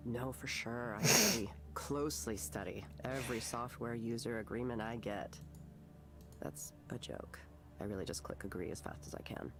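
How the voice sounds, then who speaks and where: A woman's voice: extremely low pitch, moderate pace. An actress voicing a weary young woman client in a video game, wry and self-mocking. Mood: joking. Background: game music.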